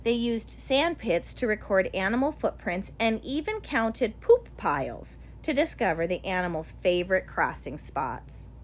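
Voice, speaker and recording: Expressive woman reading a children's book, lively pitch. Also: measured pace, few pauses. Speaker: audiobook reader. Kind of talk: scripted narration of a children's nonfiction picture book.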